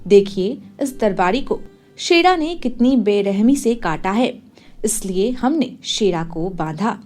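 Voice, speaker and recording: A woman's voice: medium pitch, animated, slow pace, darkish tone. A woman voicing a deferential male palace soldier in a storybook tale, explaining things respectfully.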